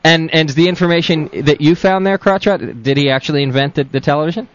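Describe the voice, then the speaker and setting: A man's voice: a little high, fast. A man hosting a casual comedic talk show, laughing often and teasing his co-host. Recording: dull lo-fi 2000s recording, dry.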